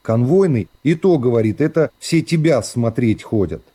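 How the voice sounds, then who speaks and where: Man reading as a bitter young woman convict, mellow. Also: medium pitch, expressive, moderate pace, breathy edge. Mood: animated. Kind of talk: radio literary reading.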